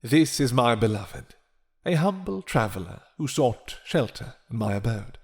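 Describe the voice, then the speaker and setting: A man voicing a proud vampire lord in a dramatic roleplay, courtly, measured and threatening. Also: medium pitch, big pitch swings, a little mellow, slightly rough, exaggerated voice. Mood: formal, guarded. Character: proud, menacing, smooth-talking male vampire lord.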